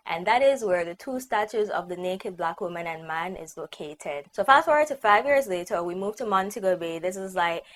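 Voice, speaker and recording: A young woman with a Jamaican accent talks to her channel in a relaxed, chatty way, often dropping in "like" as she goes. Her voice sits fairly low for a woman. A faint hiss runs under the recording. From Guyana, raised in Jamaica. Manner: chatty, upbeat, many "like" fillers. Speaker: vlogger. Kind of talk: casual personal vlog.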